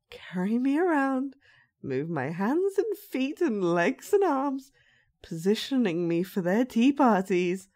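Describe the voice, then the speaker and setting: A woman's voice: a little high, warm tone. A woman playing an unhinged, sweetly sinister young dollmaker in a horror audio roleplay, with childlike, sing-song menace. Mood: eerie, wistful.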